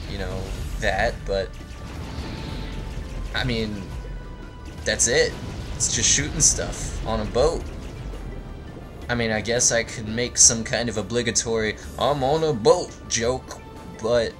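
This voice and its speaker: A man with a fairly low voice talks at an unhurried pace over clearly audible music. He hosts a game playthrough, wandering through deadpan, tongue-in-cheek jokes as he goes. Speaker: host of a video game let's play series. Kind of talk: rambling, joking game commentary.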